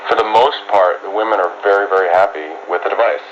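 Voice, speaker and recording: A man speaking in a halting, repetitive way as a surgeon in an unscripted interview. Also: low voice, fairly even intonation. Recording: muffled, noisy shortwave radio.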